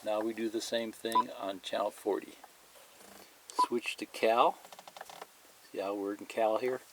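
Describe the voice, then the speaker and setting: Slow-paced man giving a hands-on tutorial. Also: lowish voice, steady tone, very noisy. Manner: plain-spoken, repetitive, pointing things out as he goes. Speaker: hobbyist instructor. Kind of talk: step-by-step hands-on tutorial demonstration, partly reading a manual aloud.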